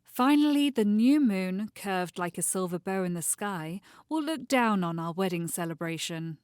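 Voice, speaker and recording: A woman playing a calm, reassuring royal bride-to-be in an audio drama, speaking in a lyrical, soothing way. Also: medium pitch, moderate pace, dry recording, clean studio sound, lightly acted. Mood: soothing, dreamy. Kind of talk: modernized Shakespeare audio drama acting.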